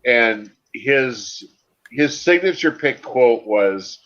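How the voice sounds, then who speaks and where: A man with a mid-pitched, slightly raspy voice, telling stories casually among friends. His audio comes through a dull, lo-fi mic with the highs cut. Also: lively intonation, very slow, a bit bright. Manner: easygoing storyteller. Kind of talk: casual stream chat.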